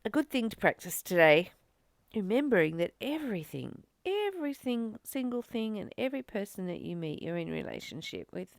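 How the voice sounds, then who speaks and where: A woman giving an informal spiritual talk as a teacher, earnest, phrasing things with deliberate, emphatic pauses. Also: mid-range voice, sing-song, vocal fry, no echo, clean studio sound. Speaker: spiritual teacher leading a daily course study.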